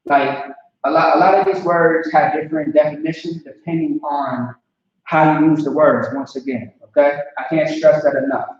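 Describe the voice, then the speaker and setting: A man's voice: on the high side, fairly even intonation, normal speed. A man giving an informal language lesson, talking casually and often checking that listeners follow. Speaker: language teacher. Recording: distant lo-fi mic in a very echoey room.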